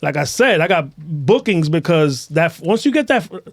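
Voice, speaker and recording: Middle-aged New man, higher-pitched, dry sound. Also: sing-song, moderate pace, gravelly, dry recording. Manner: street slang, emphatic, repeats himself. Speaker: podcast host and interviewer. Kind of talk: casual conversational interview.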